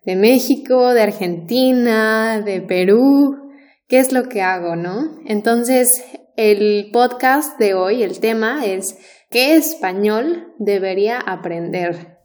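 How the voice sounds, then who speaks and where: A woman's voice: on the high side, takes it slowly, a little mellow. A Mexican woman who teaches Spanish, co-hosting a podcast and explaining things in a conversational way with asides and tag questions. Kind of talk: casual podcast chat.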